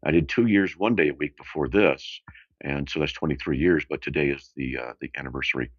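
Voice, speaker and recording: Man, radio talk host, extremely low husky voice, casual halting monologue, dull muffled audio. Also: dry recording. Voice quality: gravelly, very deep. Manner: halting, meandering, conversational. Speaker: radio call-in show host.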